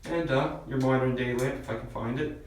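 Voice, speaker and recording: A man's voice: mid-range voice, fairly even intonation, a little fast. A man working as a tour guide, explaining things informally inside a mine. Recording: very echoey mine, distant mic, faint noise.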